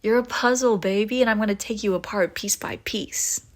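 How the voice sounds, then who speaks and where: A woman's voice: mid-range voice, normal speed, close to an everyday voice. Wry, teasing young vampire woman, acted by a woman. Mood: enthusiastic, teasing. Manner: casual, wry, teasing, swearing freely. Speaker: audio roleplay voice actor. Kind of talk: intimate scripted audio roleplay, conversational.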